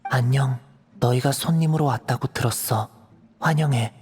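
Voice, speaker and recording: A man's voice: medium pitch, moderate pace, natural voice. An actor playing a shy, reserved teenage boy in a video game, hesitant and understated. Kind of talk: Korean video game voice acting. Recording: long reverb, game music behind. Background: music.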